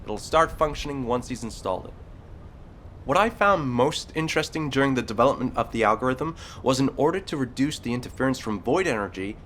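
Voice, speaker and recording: Matter-of-fact mid-pitched male sci-fi engineer voiced by actor. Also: expressive, fairly quick, fairly bright tone, slight background noise, natural voice. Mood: focused, pleased. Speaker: technician explaining a device. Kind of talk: video game voice acting, technical briefing.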